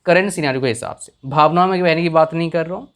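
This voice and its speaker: A man's voice: fairly high pitch, fast, clear, resonant tone. A young man whose first language is a village tongue, giving earnest career advice in a casual talk, often checking if the listener follows. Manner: earnest, repeats questions to the viewer.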